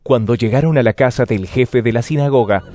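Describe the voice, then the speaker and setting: A man narrating scripture as a dramatized story, with expressive storytelling delivery. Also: mid-range voice, a little fast, dry recording, clean studio sound. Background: music.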